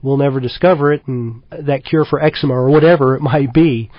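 Relaxed middle-aged man from Kentucky. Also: mid-range voice, a little fast, dry recording, lo-fi sound. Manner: easygoing, thoughtful, lots of fillers. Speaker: wildlife biologist guest. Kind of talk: radio interview.

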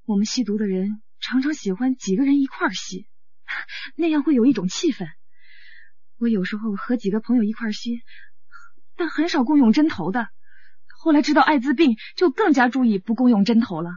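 A woman's voice: fairly high pitch, lively intonation, brisk, a little mellow, breathy edge, dry recording, dull audio. A woman playing an anguished, uneasy singer with an addiction in a radio drama, confiding and hesitant. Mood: uneasy confession.

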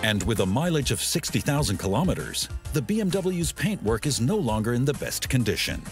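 A man reading scripted documentary voice-over in a measured, informative way. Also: mid-range voice, very animated. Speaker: documentary narrator. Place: studio voice-over. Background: background music.

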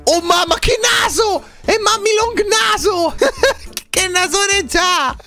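Young male YouTuber, high lively voice, theatrical and excitable gaming commentary over music. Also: slow, gravelly, raised voice. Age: 20s. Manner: excitable, theatrical, talking to viewers. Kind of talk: playful gameplay commentary. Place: indoor room at home, webcam setup.

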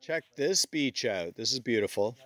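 A man's voice: medium pitch, animated, moderate pace, fairly bright tone. Cheerful man narrating an outdoor hike. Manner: enthusiastic, exclaims about the scenery, laughs often. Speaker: vlogger filming a hike. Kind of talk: casual vlog narration. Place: outdoors on a wooded island. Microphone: handheld camera.

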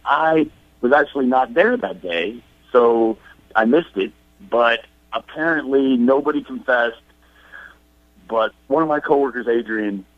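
A man's voice: mid-range voice, dry recording. A man in his late twenties calling a radio show by phone, chatting casually and confessionally. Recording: dull phone call, dry.

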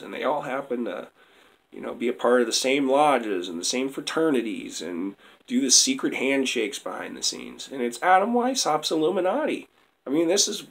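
A man's voice: medium pitch, sharp tone. A young man giving a rambling, heated monologue into a webcam in a small room at home. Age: young adult. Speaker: vlogger. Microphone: webcam close up.

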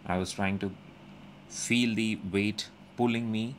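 A young man calmly narrating his gym workout as a voice-over, explaining each step with frequent pauses. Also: on the low side, a little hiss or hum. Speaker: fitness vlogger. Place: indoor gym.

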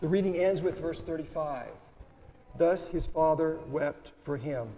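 A man in his fifties giving a deliberate, measured homily from a pulpit microphone in a church sanctuary. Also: a little high, dark tone. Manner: measured, deliberate preaching read from notes. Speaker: priest. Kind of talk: sermon. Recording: reverberant church, faint room noise.

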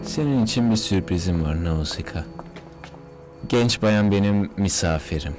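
A cool, confident cartoon hero, played by a man, speaks in a fairly low voice. He is composed and smooth-talking, with music clearly behind him. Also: moderate pace, natural voice. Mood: courteous. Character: cool, confident adult male detective hero. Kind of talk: dubbed cartoon acting.

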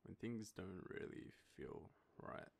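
Australian man, slow and hesitant, heavy vocal fry, soft intimate boyfriend roleplay, nervous and shy. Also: low-pitched, expressive. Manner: hesitant, nervous, halting with breathy trailing phrases. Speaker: audio roleplay performer. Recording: faint background noise, ambient sound.

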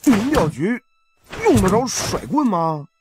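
A man's voice: high voice, sing-song, a little slow, soft background music, pitched above the performer's own voice. A man voicing a cheeky, nosy fat junior investigator with a whiny, exaggerated delivery in an audiobook. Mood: amazed.

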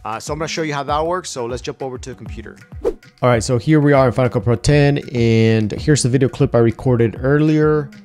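A young man giving a casual software tutorial, talking through each step with frequent "uh" and "um" fillers, on a lapel mic. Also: mid-range voice, fairly quick, faint music behind. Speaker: video editing tutor. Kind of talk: screen-recorded software tutorial. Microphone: wireless lapel mic.

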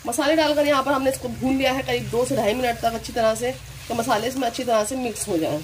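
A woman with a Delhi-area Hindustani accent talking calmly through a recipe step by step, as a cooking channel host. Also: on the high side, fast talker, a little hiss or hum. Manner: calm instructive, repeats "here" and "this way".

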